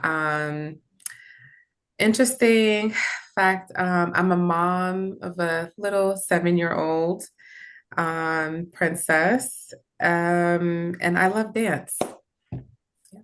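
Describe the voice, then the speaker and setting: A woman from Ghana hosting a casual group video call, talking slowly and gently affirming the others. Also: fairly low pitch, fairly even intonation, smooth. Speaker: host.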